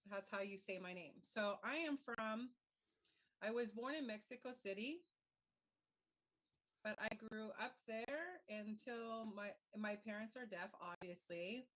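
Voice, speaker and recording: A woman with a mid-range voice speaks on a discussion panel. She talks in a measured way and explains things carefully. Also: medium pitch, a bit bright, rough recording.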